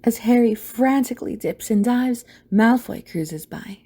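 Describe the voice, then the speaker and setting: A woman's voice: fairly high pitch, measured pace, warm tone, breathy edge. A woman narrator reading a scripted story dramatically for an audio play.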